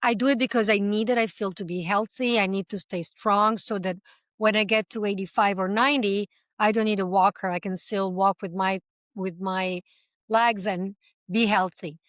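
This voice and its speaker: A woman's voice: mid-range voice, fairly even intonation, normal speed, fairly bright tone, no echo. Passionate woman, dry close sound. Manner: passionate, talkative, uses everyday examples; speaks several languages. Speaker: language school founder, interview guest. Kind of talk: conversational interview answers.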